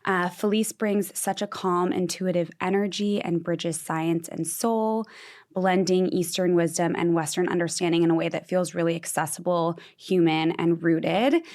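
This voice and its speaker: A woman's voice: lowish voice, fairly even intonation, moderate pace, darkish tone. A woman hosting a podcast, speaking in a conversational interview style. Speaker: podcast host.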